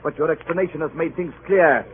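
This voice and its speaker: An actor playing a stern, resolute sea captain with a clipped, dryly ironic, commanding manner, in old-time radio drama style. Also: male voice, on the high side, fairly quick, close to an everyday voice. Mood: cold, resolute. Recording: tinny band-limited old radio recording, faint noise.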